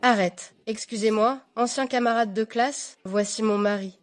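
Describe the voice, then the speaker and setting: An actress playing a polite young wife in a dubbed melodrama, mildly exasperated and eager to placate. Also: female voice, on the high side, steady tone, moderate pace, faint music behind, natural voice. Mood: embarrassed, placating.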